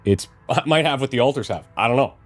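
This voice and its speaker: A man in his thirties with a slight cold and a bit of rasp, musing aloud as he plans out his moves while commentating a game. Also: mid-range voice, expressive, fast, soft background music. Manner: musing aloud, planning with fillers. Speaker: let's play host. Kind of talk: casual gameplay commentary.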